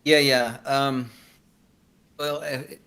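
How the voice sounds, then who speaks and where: A man's voice: medium pitch, level tone, extremely slow, bright, forceful tone, clean tone. A man talking casually as a screenwriter guest in an interview, rambling and self-deprecating as he tells stories.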